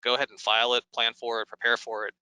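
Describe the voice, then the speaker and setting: A man's voice: medium pitch, level tone, quick pace, bright, forceful tone, smooth, no room sound, rough recording. An American man hosting a Q&A as a pilot and instructor, talking in an informal, wordy, instructive way. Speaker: host, pilot and flight instructor. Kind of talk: conversational Q&A hosting.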